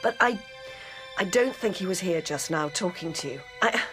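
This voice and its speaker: An actress playing a caring, concerned young woman with a gentle manner in a radio drama. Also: on the low side, animated, moderate pace, music in the background, natural voice. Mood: gentle, puzzled.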